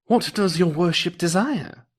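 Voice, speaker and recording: A man's voice: sits high, unhurried, breathy edge, voice pushed up. A man voicing an old devil who serves obediently, speaking in a formal, servile manner in a folktale. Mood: obliging. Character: old male devil, obedient servant to the soldier.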